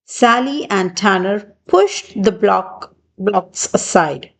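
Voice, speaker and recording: A woman reading a picture book aloud for young children in deliberate, measured short phrases. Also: medium pitch, expressive, gravelly, poor audio. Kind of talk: read-aloud storybook narration for young children.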